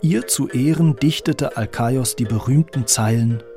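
A man narrates a radio documentary from a script. His mid-pitched voice carries a marked creak, and faint music plays behind him. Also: unhurried, warm tone.

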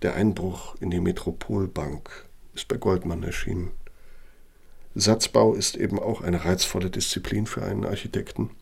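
An actor playing a cynical, bitter middle-aged architect and old Nazi functionary in a German radio play, gravelly, with a pointed, self-justifying delivery. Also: male voice, deep voice, steady tone, moderate pace, darkish tone, exaggerated voice. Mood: brisk, guarded. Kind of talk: German radio play acting, dramatic monologue.

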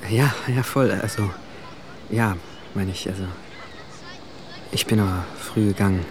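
A man's voice: on the low side, unhurried, close to an everyday voice. A young man playing a shy, awkward, withdrawn teenage boy in an audio drama, answering hesitantly in few words. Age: young adult. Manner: hesitant, terse, mumbling monosyllables. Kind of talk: naturalistic audio drama dialogue. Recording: noisy outdoor ambience with traffic.

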